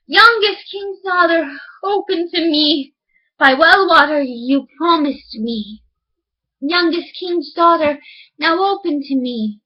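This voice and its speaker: A woman's voice: very high voice, slow, lo-fi sound. A woman voicing an insistent storybook frog with demanding, formal speech.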